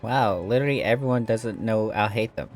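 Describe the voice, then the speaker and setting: Sarcastic low-voiced gameplay commentator. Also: male voice, brisk, darkish tone, soft background music. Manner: sarcastic, joking asides. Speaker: gameplay streamer. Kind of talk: casual commentary over gameplay.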